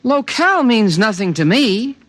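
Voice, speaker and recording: An earnest alien guardian creature. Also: male voice, high-pitched, very animated, normal speed, a bit bright. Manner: precise, matter-of-fact, earnest. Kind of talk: 1950s radio drama acting. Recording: dry studio, background music.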